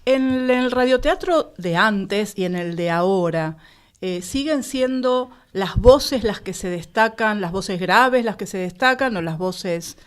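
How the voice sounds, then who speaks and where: A woman's voice: mid-range voice, expressive, takes it slowly, smooth. An Argentine woman co-hosts a radio interview with a mid-range voice. Her style is conversational, made of questions and short interjections to the guests. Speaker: radio co-host.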